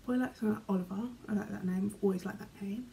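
A young British woman vlogging from her bedroom, reading questions aloud and answering in a chatty, rambling way, often trailing off quietly. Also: mid-range voice, racing, creaky. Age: young adult. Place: small bedroom, indoors. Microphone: camera in front, medium framing.

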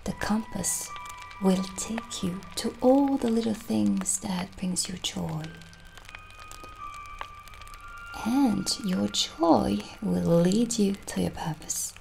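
A young woman playing a gentle, kindly witch shopkeeper in an ASMR roleplay, soft-spoken and soothing, slipping toward breathy near-whispers. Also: mid-range voice, rough recording. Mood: calm, reassuring. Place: small indoor candlelit set.

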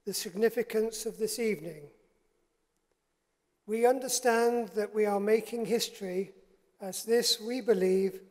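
A man in his sixties giving a formal welcome address at a podium in a large hall, slow and deliberate. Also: high voice, darkish tone. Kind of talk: formal speech. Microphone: podium microphone. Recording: long hall reverb.

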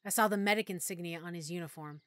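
A woman's voice: on the low side, fast, no echo. Woman narrating an audiobook in first person. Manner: expressive, clear storytelling. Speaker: narrator and lead character.

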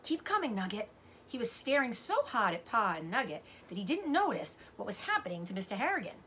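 Expressive woman reading aloud, noisy room. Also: fairly high pitch, a little fast. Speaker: teacher reading a book aloud. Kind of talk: expressive read-aloud narration of a children's novel. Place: indoors, room with bookshelves.

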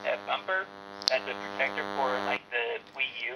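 A man's voice: mid-range voice, lively intonation, normal speed. A man speaking as a store employee in customer service style over a telephone. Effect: telephone line. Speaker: toy store employee on the phone. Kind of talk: customer service phone talk. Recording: phone line with clear hum and noise.

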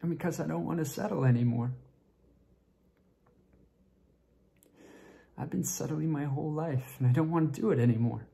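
Man acting lovesick tipsy young man, mellow creaky voice, halting repeats, near-whispered drops, dramatic monologue. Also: on the high side. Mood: aching, confessing. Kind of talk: dramatic stage monologue rehearsal.

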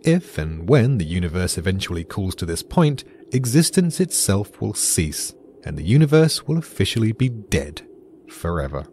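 British male explainer, dry and dramatic, lowish and animated, faint background noise. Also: normal speed. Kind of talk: scripted podcast narration.

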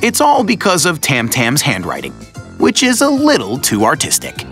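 A man narrating a children's story in a playful, expressive storybook manner. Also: fairly high pitch, normal speed, over music.

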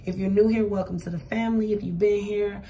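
A hoarse, raspy woman giving a casual tarot reading at a table, chatty and repeating "okay?" to her viewers. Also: mid-range voice, steady tone, quick pace, warm tone, recorded from a distance, slight background noise. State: hoarse, voice going for days. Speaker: tarot reader. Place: indoors at a table with cards and crystals. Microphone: camera across the table.

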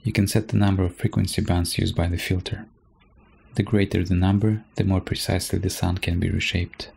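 Deep, creaky-voiced male tutorial narrator. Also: level tone, brisk, a little mellow, a little hiss or hum. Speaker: tutorial presenter. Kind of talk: scripted software tutorial narration.

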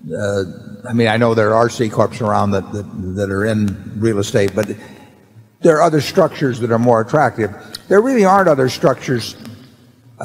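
An older man on stage at a table answering a question, rambling and repeating words as he talks. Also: fairly low pitch. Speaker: company chairman answering questions. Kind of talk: unscripted answer at shareholder meeting. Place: large hall on stage at table. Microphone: table mic. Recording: long hall reverb.

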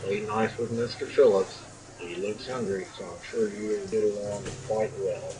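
An actor playing a wry, teasing asylum orderly in an audio drama, dry and needling. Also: male voice, fairly low pitch, fairly even intonation, moderate pace, a loud crowd, close to an everyday voice, low-quality recording. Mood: mock-pleasant.

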